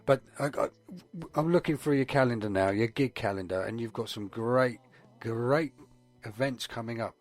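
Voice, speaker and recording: A man's voice: mid-range voice, moderate pace, soft background music. A man co-hosting a radio show, putting casual interview questions to a guest.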